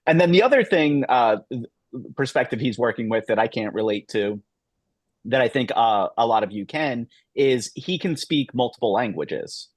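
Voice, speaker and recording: A man's voice: medium pitch, animated, moderate pace, no room sound. A man moderating a video call panel, posing long, hesitant questions full of fillers. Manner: rambling, hesitant, many fillers and restarts. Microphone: laptop mic on video call.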